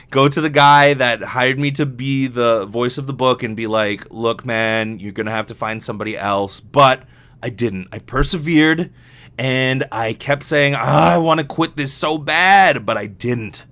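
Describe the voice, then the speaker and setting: A man with a bright, forceful voice at a medium pitch talks casually as a solo podcast host. He rambles in a jokey, self-deprecating way and swears freely. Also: a little slow. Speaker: podcast host and professional voice actor.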